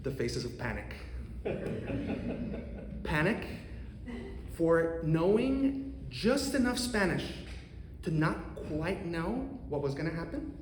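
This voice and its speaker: A man in his thirties from Costa Rica with a Costa Rican accent, an economics professor giving an earnest, measured prepared talk to a congregation. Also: on the high side, big pitch swings, a little hiss or hum. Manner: earnest, measured, with occasional restarts. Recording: roomy hall reverb, faint ambience.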